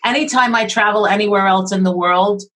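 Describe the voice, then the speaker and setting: A woman's voice: mid-range voice, brisk, a bit bright. A middle-aged woman from Queens with a New York accent, chatting as an interview guest and telling stories in a friendly way. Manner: friendly, storytelling with acted quotes. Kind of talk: casual interview chat.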